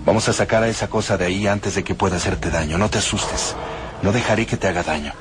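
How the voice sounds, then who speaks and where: A man's voice: deep voice, fast talker, background noise, close to an everyday voice. A man voicing a tense, protective young male vampire, speaking with urgent, curt reassurance over background music.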